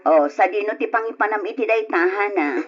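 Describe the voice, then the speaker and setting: A woman's voice: medium pitch, fairly quick, soft background music, natural voice. A woman playing a caring, practical mother and long-suffering wife in a poor family, in an old Ilocano radio drama. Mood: stern, worried. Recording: muffled lo-fi radio recording.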